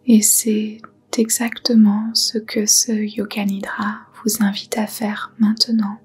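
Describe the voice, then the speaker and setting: A woman softly guiding a meditation in a breathy, whispery voice, soothing and unhurried. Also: medium pitch, monotone, soft background music. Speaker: yoga nidra guide. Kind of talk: guided meditation, soft whispered narration.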